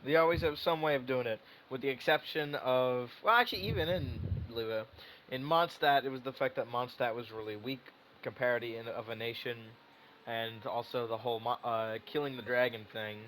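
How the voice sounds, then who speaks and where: A man's voice: medium pitch, moderate pace, a bit bright, a little hiss or hum, low-quality recording. Casual, sweary male game streamer. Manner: sweary, teasing, rambling. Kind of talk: casual game reaction commentary.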